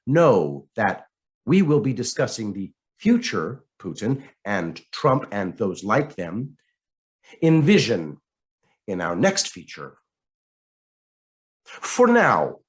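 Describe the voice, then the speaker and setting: A man narrates a written commentary with theatrical emphasis. His medium-pitched voice rises and falls widely, and he sets out his phrases deliberately, leaning hard on key words. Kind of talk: scripted narration, reading an essay aloud.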